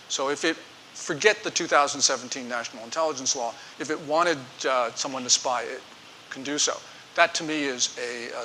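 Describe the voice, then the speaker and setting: A man's voice: mid-range voice, bright, forceful tone. A middle-aged man giving an emphatic, editorializing talk as guest speaker, answering audience questions. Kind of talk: lecture with audience Q&A. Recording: reverberant hall with faint hiss.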